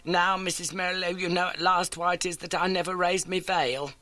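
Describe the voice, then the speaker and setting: A man's voice: sits high, level tone, a little fast, bright, forceful tone, voice pushed up. A man voicing a tormented, secretive woman in an audiobook, with dramatic, urgent pleading. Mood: desperate, urgent. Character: tormented, secretive woman lodger, ailing and desperate. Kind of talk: audiobook character acting.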